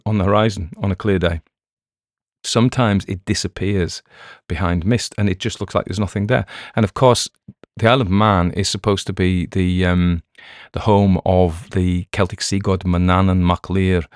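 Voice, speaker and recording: A man from the Cumbrian coast talking in a rambling, halting way, full of fillers and restarts, while recovering from a cold. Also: deep voice, dry recording, clean studio sound. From Cumbrian coast, England. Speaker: story reader and podcast host. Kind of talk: casual spoken commentary between readings. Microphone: headphones on, home recording.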